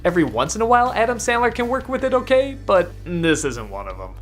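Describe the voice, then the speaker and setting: A man's voice: high voice, sing-song, fast talker, soft background music. A man giving scripted film review commentary in a conversational, wryly opinionated way.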